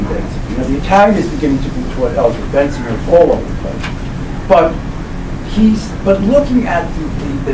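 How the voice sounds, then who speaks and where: Older man lecturing, recorded from afar. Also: a little high. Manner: halting delivery with repeated words and emphatic stresses. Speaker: lecturer, professor. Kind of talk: informal public lecture, book presentation. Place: small indoor meeting room. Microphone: camera mic a few metres away. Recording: roomy, distant mic, loud ventilation hum.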